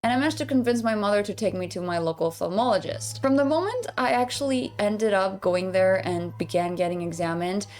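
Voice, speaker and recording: A woman's voice: mid-range voice, brisk, hardly any pauses, darkish tone. A woman narrating her own experiences as personal storytelling for an online video. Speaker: online content creator telling own story.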